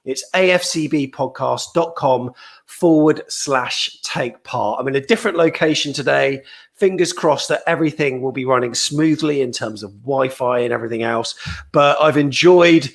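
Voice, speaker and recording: A man from England hosting a casual live fan show, chatty and upbeat with quick asides. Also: medium pitch, gravelly. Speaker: fan channel host. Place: remote location on video call.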